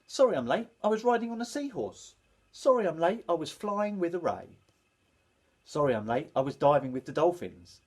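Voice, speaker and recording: A man voicing a small, excitable boy fish who earnestly tells tall tales in a storybook. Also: on the high side, normal speed, slightly rough, voice pushed up. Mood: excited, apologetic.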